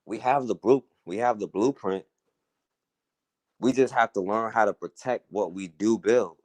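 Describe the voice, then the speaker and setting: Low-voiced man giving an earnest monologue. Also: a little slow, darkish tone, dry recording. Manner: earnest, conversational, frequent "you know" and "right?". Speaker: talk radio host. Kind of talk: casual monologue commentary.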